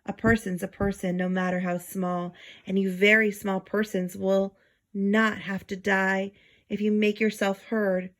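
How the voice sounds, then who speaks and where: A woman voicing a gentle male elephant in a children's storybook, earnest and pleading. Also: medium pitch, level tone, unhurried, natural voice. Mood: encouraging. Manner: earnest, emphatic, imploring.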